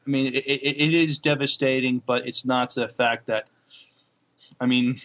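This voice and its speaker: Hesitant man with a medium-pitched voice. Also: steady tone, a bit bright. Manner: hesitant, halting, repeats words. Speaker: podcast co-host. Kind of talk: casual review chat about TV shows.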